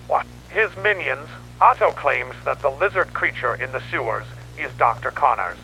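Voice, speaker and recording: A man's voice: medium pitch, vocal fry, sharp tone. A man playing a grim newspaperman who records a horror investigation log in a dramatic, grave storytelling manner. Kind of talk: scripted analog horror narration. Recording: noisy radio-like tape with hum.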